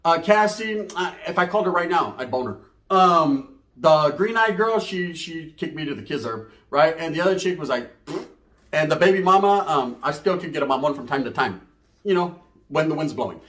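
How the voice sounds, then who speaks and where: A man's voice: a little high, animated, normal speed, fairly bright tone, echoey room. A man telling a raunchy comic story casually, full of slang and swearing and acting out the dialogue. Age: adult, parent. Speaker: comedic storyteller.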